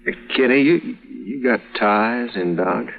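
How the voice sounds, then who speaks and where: An actor playing a smooth-talking, wounded drifter with an easy drawl, on a dull old radio recording. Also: male voice, medium pitch, unhurried. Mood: easygoing.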